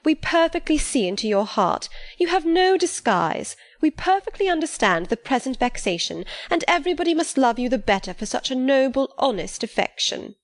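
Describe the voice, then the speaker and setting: A woman's voice: high-pitched, big pitch swings, normal speed, few pauses, no room sound, voice pushed up. Doting middle-aged mother, as a woman reader voices her, fussy, rambling, lilting, dry close sound. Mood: soothing. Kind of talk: audiobook character reading.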